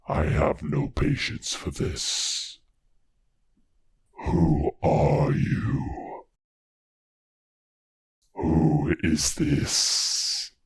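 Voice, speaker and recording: A man voicing a huge, haughty, menacing dragon for fantasy game lines, in a slow, gravelly, raspy growl. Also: extremely low pitch, no echo, exaggerated voice. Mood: imperious. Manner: slow, drawn-out, menacing delivery. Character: huge menacing male dragon guarding his hoard, haughty and threatening.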